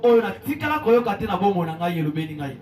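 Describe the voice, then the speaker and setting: A man interpreting a sermon, quickly repeating each line after the preacher. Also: sits high, big pitch swings, darkish tone. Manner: quick echoing delivery that follows the preacher. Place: church hall. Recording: echoey church hall, far from mic, music behind.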